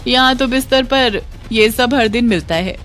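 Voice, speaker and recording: A woman's voice: fairly high pitch, normal speed, fairly bright tone, soft background music, voice pushed up, lightly acted. A woman voicing a blunt, knowing household maid with a drawling, insinuating manner in a moral story.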